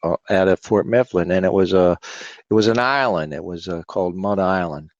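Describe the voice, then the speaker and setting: A man's voice: sits low, fairly quick, no echo, dull audio. A man with a Philadelphia accent, a host at a historic fort, answering interview questions in a rambling way with many fillers.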